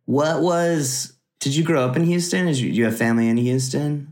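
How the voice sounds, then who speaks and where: A relaxed interviewer keeps the conversation easy with short slangy replies like "word" and "totally". The voice sits in a middle range. The questions come out loose and casual. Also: medium pitch, a little fast.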